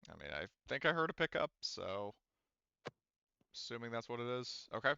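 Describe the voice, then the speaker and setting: A man's voice: mid-range voice, very animated, moderate pace, vocal fry, sharp tone, clear, resonant tone, dry recording, muffled recording. A man running a tabletop game as game master, narrating casually with swearing and jokes. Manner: sweary, joking.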